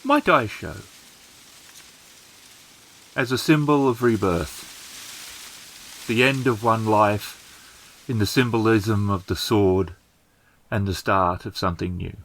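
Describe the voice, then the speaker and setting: A man playing the gentle, plain-spoken ghost of a samurai turned farmer in a tabletop game, speaking slowly and haltingly. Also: on the low side, a little hiss or hum, rough recording. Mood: wistful, gentle.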